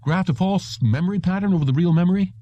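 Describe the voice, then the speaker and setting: A man's voice: high-pitched, fast, mellow, no room sound, voice pushed up, natural voice. A man voicing a cautious, anxious technician in an audiobook. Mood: worried. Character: worried, cautious technician in a raised voice.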